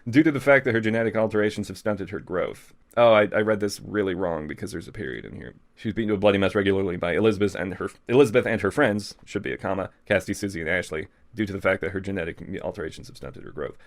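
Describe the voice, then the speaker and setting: A man's voice: deep voice, fast talker, vocal fry, hardly any pauses. A man reading a story aloud in a casual, comedic way, with mocking asides and self-corrections. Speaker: podcast host reading fan fiction aloud.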